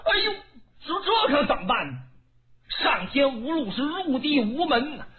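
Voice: high voice, very animated, a bit bright, pitched above the performer's own voice. A storyteller voicing a young male general crying out in frantic panic. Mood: panicked. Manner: frantic, rushed exclamations.

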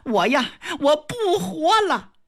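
Woman storyteller playing a wronged young maid, plaintive complaining voice, swings from low mutter to high cry. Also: big pitch swings, normal speed. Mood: desperate. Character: wronged young maidservant, aggrieved and desperate.

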